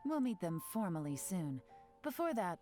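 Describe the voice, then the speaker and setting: A woman's voice: fairly low pitch, sing-song, moderate pace. An actress playing a composed, sly female operative in a video game, speaking in a cool, assured, businesslike way. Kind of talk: English video game voice acting. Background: faint game music.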